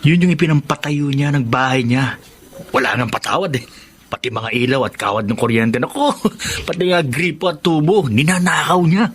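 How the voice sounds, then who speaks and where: A man plays a gossipy workman friend in a Filipino radio drama. His voice sits fairly high and he talks emphatically, like someone passing on warnings, over some background noise. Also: normal speed, a bit bright, natural voice. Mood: gossipy, indignant. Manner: emphatic, conspiratorial, exclaiming. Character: gossipy, loyal male construction worker, cautioning friend.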